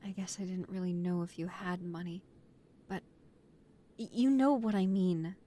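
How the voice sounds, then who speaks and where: A woman's voice: mid-range voice, mellow, a little hiss or hum, close to an everyday voice. A woman playing an earnest, devoted new mafia recruit and loving partner in a romantic audio roleplay. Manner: earnest, emotional, polite to superiors.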